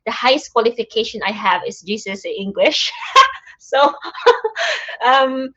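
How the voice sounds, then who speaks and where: Soft-spoken woman on a video call. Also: on the high side, huge pitch swings, measured pace, dull audio. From Philippines. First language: Tagalog. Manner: soft-spoken, searching, with frequent ums. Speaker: guest poet. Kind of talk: interview answers.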